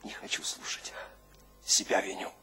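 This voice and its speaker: An actor playing a weary, self-blaming husband who feels old and grey, half-whispering in a radio drama. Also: male voice, moderate pace, sharp tone, soft background music. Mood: weary despair. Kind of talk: theatrical radio drama acting.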